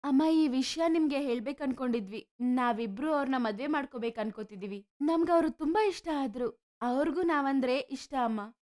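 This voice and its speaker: A woman voicing a frank, warm-hearted young village daughter in a story. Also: high voice, normal speed, lightly acted. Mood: pleading. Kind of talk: animated moral story dialogue.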